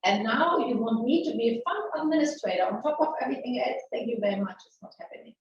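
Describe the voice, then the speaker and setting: A middle-aged woman with a German accent gives a frank, lively webinar presentation, often restarting sentences and asking rhetorical questions. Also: on the high side, fast talker, a little hiss or hum. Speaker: webinar presenter, maritime lawyer. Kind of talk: conversational legal webinar presentation. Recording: very echoey, distant, muffled webinar audio.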